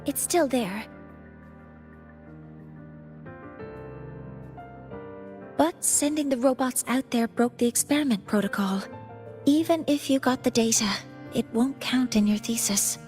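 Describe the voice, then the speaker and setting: A woman playing a strict, composed female professor in a video game, speaking in a measured, formal, matter-of-fact way. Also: on the high side, a little mellow, natural voice. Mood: calm, resigned. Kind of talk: scripted game dialogue. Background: music.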